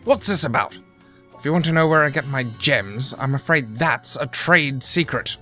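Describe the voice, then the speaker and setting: An actor playing a guarded, evasive griffin gem trader in an audio drama. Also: male voice, medium pitch, lively intonation, moderate pace, bright, forceful tone, soft background music. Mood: wary, evasive.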